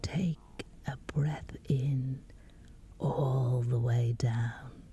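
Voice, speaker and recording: A woman guiding a sleep meditation in a hushed, whispery, unhurried voice with long pauses, reading as scripted narration. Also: very deep voice, steady tone, slight background noise.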